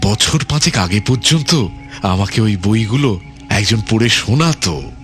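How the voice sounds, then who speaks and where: A slow, menacing island overlord. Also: male voice, lowish voice, animated. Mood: nostalgic. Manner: unhurried, repeats phrases, sinister chuckles, false courtesy. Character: menacing, softly polite island overlord, a settler's son. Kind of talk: radio drama acting. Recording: music under the voice.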